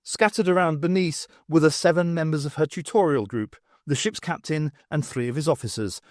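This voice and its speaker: A man reading a novel aloud as an audiobook, with expressive, dramatic narration. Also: on the high side, a little fast, no echo, clean studio sound. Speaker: audiobook reader. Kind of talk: scripted fiction narration with acted dialogue.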